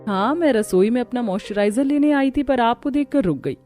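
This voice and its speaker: A woman's voice: on the high side, fairly quick, mellow, voice pushed up, lightly acted. A woman voicing an inquisitive, teasing elder sister-in-law in a cartoon family story, politely probing, over music. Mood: suspicious.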